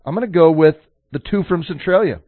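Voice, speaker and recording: A man's voice: on the high side, fairly quick, no room sound. A man from Colorado co-hosting a local sports radio show, easygoing and reading out scores with enthusiasm. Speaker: radio co-host. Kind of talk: casual sports radio chat. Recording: dry, phone-like narrow sound.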